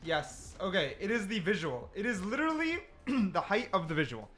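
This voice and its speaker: A man's voice: sits high, sing-song, moderate pace, a bit bright, faint music behind. A man giving casual gameplay commentary, explaining things and repeating points for emphasis. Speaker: gaming video creator.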